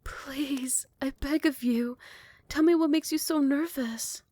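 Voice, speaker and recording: A woman's voice: high-pitched, takes it slowly, no echo. A woman voicing a possessive female vampire queen in an ASMR roleplay, moving from close, tender whispers to furious threats. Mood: pleading. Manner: soft close whispers turning to furious shouting. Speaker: voice actor.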